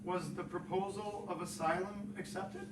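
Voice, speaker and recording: A man's voice: high voice, steady tone, normal speed. A man giving a dramatic reading from a literary text, posing short formal questions. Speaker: literary reader. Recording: echoey, distant mic, loud noise.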